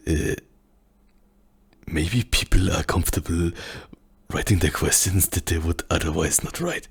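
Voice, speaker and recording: German-accented man, very deep and gravelly, soft-spoken ASMR whisper, slow and hesitant. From German-speaking country. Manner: hesitant, many fillers, searching for words. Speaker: ASMR channel host.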